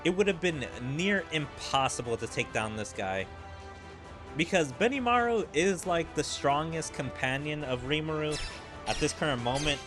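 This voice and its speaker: A man's voice: on the high side, animated, normal speed, over music. A man streaming a game and giving casual commentary in rambling, hesitant explanations peppered with filler words. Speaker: gaming streamer.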